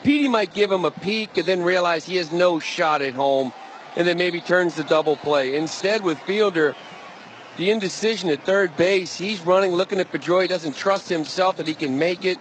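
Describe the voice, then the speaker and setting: Male color analyst for baseball, slightly high voice, emphatic conversational delivery with repeated words, faint ballpark noise. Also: moderate pace. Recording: broadcast with faint stadium noise.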